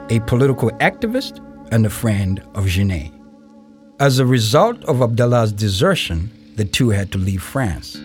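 A man acting as the narrator of an audio play, giving measured, factual, documentary-style narration. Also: on the low side, a little mellow. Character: sober male documentary-style narrator of a biography.

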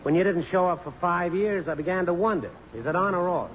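A man's voice: high voice, fairly quick, natural voice. An actor playing a brash, teasing male photographer and old flame, glib, sardonic and insistent, in 1950s radio drama style. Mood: needling, flirtatious. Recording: dull, reverberant, noisy old radio broadcast.

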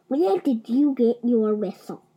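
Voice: medium pitch, lively intonation, measured pace, dark tone, slightly rough, voice pushed up. A storyteller voicing nosy, menacing small woodland animals in a chattering, squawking manner. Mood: prying.

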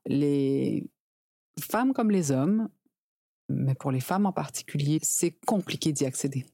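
A woman's voice: low-pitched, animated, measured pace, creaky, mellow, no echo, studio quality. A woman in her forties explaining things conversationally as an interview guest, emphatic and given to acting out little quotes. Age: 40s. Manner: emphatic, illustrates points with mimicked quotes. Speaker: interview guest, reproductive health specialist.

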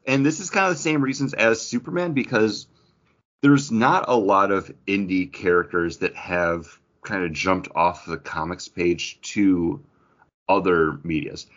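A man's voice: deep voice, unhurried, a bit bright. A man co-hosting a podcast, talking in a casual, conversational style. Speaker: podcast co-host. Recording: dull, band-limited podcast audio.

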